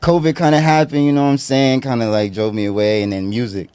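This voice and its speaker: A man's voice: mid-range voice, level tone, quick pace, darkish tone, clear, resonant tone. A man in his twenties from Connecticut, a guest rapper talking casually in a podcast interview, laid-back and full of slang and fillers. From Bridgeport, Connecticut. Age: 20s.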